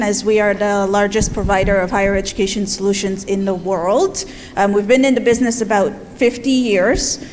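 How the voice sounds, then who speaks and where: A woman's voice: mid-range voice, a little mellow. A woman from Guyana introducing herself in a friendly, conversational way at a conference. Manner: friendly, conversational, many fillers. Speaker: solutions consultant presenter. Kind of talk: conference presentation. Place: large conference hall. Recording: hall reverb with faint noise.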